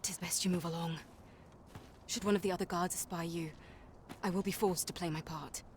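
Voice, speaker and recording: A woman's voice: on the low side, steady tone, a little fast, a little hiss or hum, natural voice. A woman voicing a dutiful, guarded female guard in a fantasy game, speaking formally in clipped archaic phrases. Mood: cautioning, respectful. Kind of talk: scripted fantasy game dialogue.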